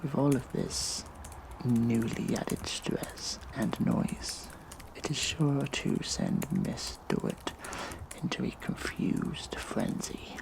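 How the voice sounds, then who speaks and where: An actor playing a smug, vengeful hacktivist in an audio drama, speaking in a breathy, sinister, mock-casual hush. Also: male voice, mid-range voice, wildly swooping pitch, slow pace, full character voice, rough recording. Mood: scheming. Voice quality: breathy, whispery, gravelly creak. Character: vengeful gleeful hacktivist vigilante man. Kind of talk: audio drama monologue, addressed to viewers.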